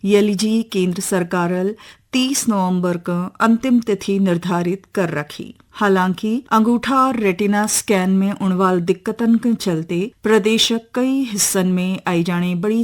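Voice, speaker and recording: Woman, Kumaoni accent, radio news reader, scripted regional bulletin, medium pitch.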